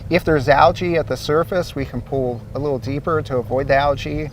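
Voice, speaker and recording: An easygoing man with a slightly high voice explains things step by step and leans on small fillers. He is recorded outdoors by a lake, a little away from the mic, with faint noise behind him. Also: steady tone, brisk, distant mic. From Ohio. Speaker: source water protection coordinator, guest. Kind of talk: explanatory interview answers.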